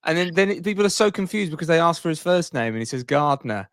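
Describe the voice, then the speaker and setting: A man's voice: on the high side, lively intonation, quick pace, fairly bright tone, no echo, clean studio sound. A man in casual podcast conversation, rambling along in a playful, jokey way. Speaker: podcast co-host.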